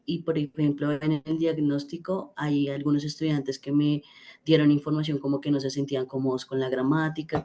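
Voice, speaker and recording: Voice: mid-range voice, mellow. A student answering a teacher's question about a research project, with frequent fillers and restarts. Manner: hesitant, many fillers.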